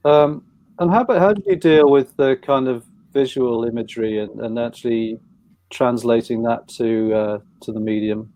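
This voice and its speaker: A man's voice: medium pitch, moderate pace, dark tone, dry recording. A man interviewing a writer, asking long, hesitant questions that wander before they land. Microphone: video call.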